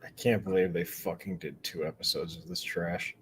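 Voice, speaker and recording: A man's voice: deep voice, steady tone, normal speed, a little hiss or hum. A man co-hosting a loose TV reaction chat. He chips in with dry, sarcastic, profane one-liners. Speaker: co-host. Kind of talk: casual reaction commentary.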